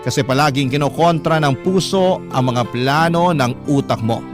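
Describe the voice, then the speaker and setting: Male radio host for romantic letter narration; slightly high voice, clear guitar music underneath. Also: moderate pace. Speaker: radio show host reading a listener's letter. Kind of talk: first-person romantic story narration.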